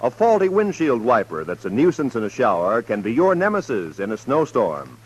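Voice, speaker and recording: A man's voice: mid-range voice, wildly swooping pitch, moderate pace, husky, highs cut off. A man announcing in scripted, old-time 1950s radio style.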